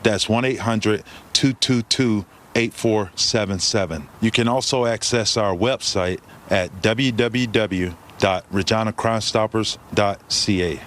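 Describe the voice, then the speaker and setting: A man's voice: fairly low pitch, a little slow, few pauses, fairly bright tone, slight background noise. A man reading a public service announcement asking people to report crime.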